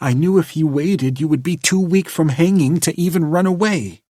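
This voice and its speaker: A man voicing a sharp-tongued high young woman. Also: normal speed, dry recording, studio quality, natural voice. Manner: hissing, snapping, then pleading.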